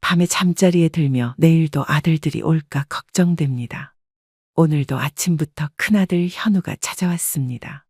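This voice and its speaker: A woman giving scripted first-person narration in an even, wistful storytelling manner. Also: low voice, normal speed, breathy edge, dry recording, clean studio sound. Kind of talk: scripted audio drama narration.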